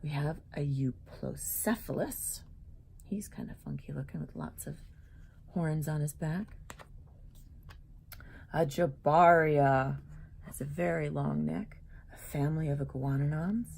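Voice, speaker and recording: A woman with a notably deep, mellow voice shares a children's book as its author. She talks to young listeners in an expressive storybook way, mixing reading with casual remarks. A faint layer of noise sits behind her voice. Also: a little slow, creaky, long pauses. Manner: animated storybook delivery, addressing young listeners.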